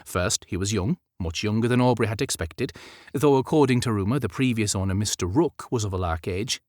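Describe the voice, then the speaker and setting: A man's voice: lowish voice, brisk, darkish tone, no room sound, clean studio sound. A man narrating a period romance audiobook as scripted fiction.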